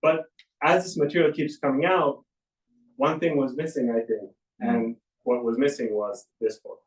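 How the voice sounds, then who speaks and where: Man host, deep voice, sincere and halting, off-mic in an echoey bookstore. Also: steady tone, distant mic. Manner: halting, with many fillers, earnest and grateful. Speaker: bookstore owner hosting a reading. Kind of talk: casual event welcome speech. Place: bookstore event room. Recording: echoey room, voice from afar, faint noise.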